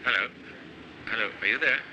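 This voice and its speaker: A man's voice: mid-range voice, fairly quick. A man making a prank phone call, faking a bad cold and apologizing over and over. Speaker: prank caller. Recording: noisy film audio.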